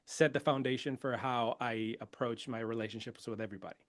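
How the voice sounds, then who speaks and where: A man originally from Venezuela, Spanish his mother tongue, tells his family's story to a podcast host with energy and pride. His voice sits at an ordinary middle pitch, and the sound is dry and close to the mic. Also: brisk, no echo. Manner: energetic, proud, laughs often. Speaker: podcast guest. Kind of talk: casual interview storytelling.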